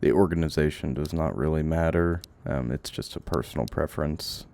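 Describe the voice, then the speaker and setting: Very deep, gravelly man rambling over gameplay. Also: a little mellow. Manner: rambling, halting, sweary, talks to himself. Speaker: game streamer. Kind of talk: casual gameplay commentary, thinking aloud. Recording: audible background noise, clicks.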